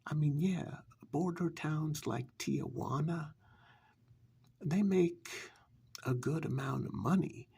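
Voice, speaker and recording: A man playing a smug, sinister get-rich-quick pitchman in a soft, husky, halting ASMR parody infomercial. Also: full character voice. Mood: smug, confiding. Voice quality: husky, breathy, gravelly. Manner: halting, smug, casually menacing, sweary.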